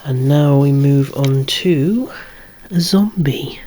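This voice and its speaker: ASMR collector-presenter, androgynous voice. Whispered, hushed delivery at medium pitch, with gently wry asides. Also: takes it slowly, a little hiss or hum. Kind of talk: whispered ASMR show-and-tell of collectible toys.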